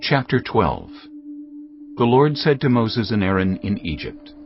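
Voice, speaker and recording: Deep gravelly male Bible narrator, expressive reading, lo-fi track with music. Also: a little slow. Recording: dull lo-fi sound with music.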